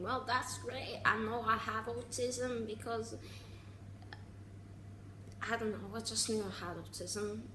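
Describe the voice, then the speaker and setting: A woman around twenty talking casually in a personal vlog, halting and restarting, with sarcastic asides. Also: medium pitch, echoey room, background noise. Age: 20s. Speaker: vlogger.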